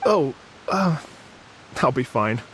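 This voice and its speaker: A man's voice: medium pitch, expressive, takes it slowly, fairly bright tone, slight background noise, low-quality recording. An actor playing a dreamy, polite and apologetic male travelling musician in a video game.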